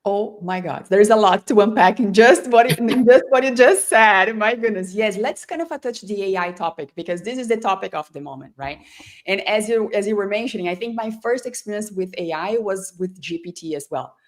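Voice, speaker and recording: A woman's voice: medium pitch, fairly quick. A woman who is not a native English speaker, hosting a conversational online talk show in an effusive, emphatic way, often checking in with the listener. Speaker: host and customer experience leader.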